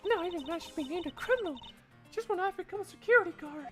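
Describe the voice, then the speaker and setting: A man voicing a clumsy, eager young female security guard in a live one-man fan dub of a game, in a high, excitable falsetto. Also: very fast, music in the background. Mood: rueful.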